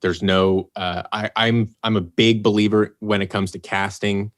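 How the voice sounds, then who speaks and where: A man with a fairly low voice, talking loosely and easily in a podcast chat. He wanders through his thoughts, doubles back on words, and perks up whenever he acts out what someone said. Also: a little slow. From Los Angeles area, United States. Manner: rambling, restarts and fillers, animated when quoting himself. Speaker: producer and writer of an audio drama. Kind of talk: casual podcast interview.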